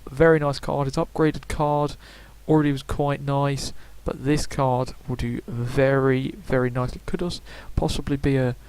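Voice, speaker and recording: A young man talks through his picks in a loose, rambling way. He fills gaps with "uh" and "um" and stops to correct himself. His voice sits at a middle pitch. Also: flat delivery, moderate pace, hardly any pauses, darkish tone, smooth, rough recording. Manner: chatty, rambling, frequent fillers and self-corrections. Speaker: gaming YouTuber. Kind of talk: casual predictions commentary.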